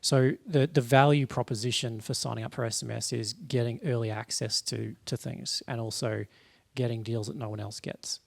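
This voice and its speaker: A man's voice: medium pitch, steady tone, normal speed, gravelly, hardly any pauses. A man speaking as a panelist in a casual discussion, explaining things in a matter-of-fact way. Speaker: panelist, eCommerce marketer. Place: live event in front of an audience.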